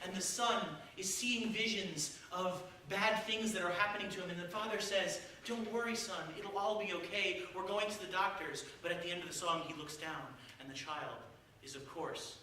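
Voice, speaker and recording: A man's voice: high-pitched, steady tone, moderate pace, sharp tone, slight background noise. A male opera singer teaching a class of young listeners in a playful, encouraging way, often asking them questions. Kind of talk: lively talk to a young audience. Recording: echoey hall from far off, piano.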